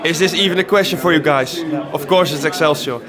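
A man from the Netherlands giving relaxed, plain answers in an event interview. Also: fairly high pitch, a little fast, crowd noise.

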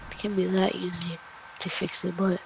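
A woman's voice: fairly low pitch, monotone, normal speed, creaky. A woman playing a troubled, accusing sorceress in an improvised amateur game roleplay, in a plain, hesitant way. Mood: pondering. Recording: noisy amateur game-capture audio.

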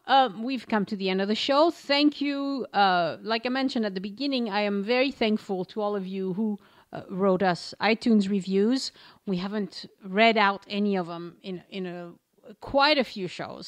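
A woman hosting a travel podcast, chatting casually, laughing often and stumbling over listener names as she reads reviews aloud. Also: mid-range voice. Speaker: podcast host.

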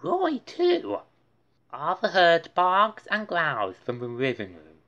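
A woman's voice: low-pitched, big pitch swings, measured pace, pushed and bright, highs cut off. A woman reading a children's storybook aloud as narration. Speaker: storybook reader.

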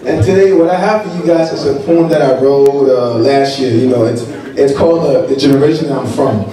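A man's voice: medium pitch, brisk, dark tone. A young man leading the youth ministry, chatting relaxedly before a spoken word poem, saying "you know" a lot. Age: young adult. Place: large church hall stage. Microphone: handheld mic, far camera. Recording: echoey hall with crowd, from far off.

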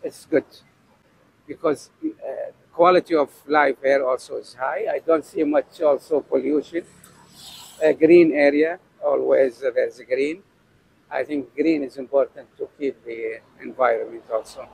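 Older gravelly man. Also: medium pitch, fairly even intonation, darkish tone, slight background noise, low-quality recording. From Saudi Arabia. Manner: slow and halting, searching for words. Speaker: interviewee. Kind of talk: street interview answers. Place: outdoor city street. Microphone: handheld mic held toward him.

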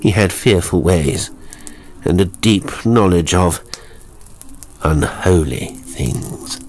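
A man's voice: low-pitched, lively intonation, slow, slight background noise. A man voicing a sardonic, debauched nobleman with a dry, mocking manner in a horror story. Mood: curious, taunting. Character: sardonic debauched nobleman, clearer-witted drinking companion.

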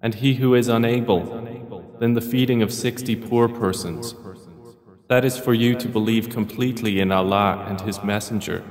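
A man reading a scripture translation in a solemn, formal style with measured phrasing. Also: mid-range voice, steady tone, darkish tone. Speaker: translation reader. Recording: reverberant voice-over.

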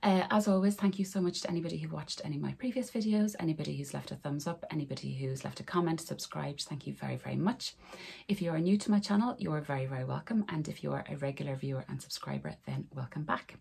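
Hoarse low-voiced woman with a cold. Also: fast, a little mellow. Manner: friendly, rambling, talks to camera while showing garments. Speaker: sewing vlogger. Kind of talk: casual chatty vlog. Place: small bedroom sewing room, indoors. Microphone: camera in front of her at desk.

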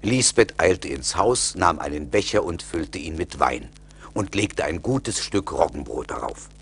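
Very deep, slow male narrator. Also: animated, creaky, breathy edge. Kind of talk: scripted fairy-tale narration.

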